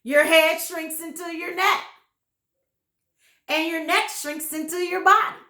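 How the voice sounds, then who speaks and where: A woman's voice: very high-pitched, steady tone, a little slow, pushed and bright, echoey room, voice pushed up, heavily acted. A woman reading as a jeering, nasty old husband. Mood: gloating. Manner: taunting, mock-alarmed, jeering. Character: nasty gloating old husband, cruel trickster.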